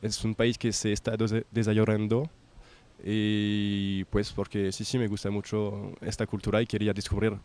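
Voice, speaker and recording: A young man from France speaks in a fairly low voice with a clear French accent. He is a student giving an informal testimonial. French is his native language, so he moves haltingly through his second language, restarting as he looks for the right word. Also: monotone, a little mellow. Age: young adult.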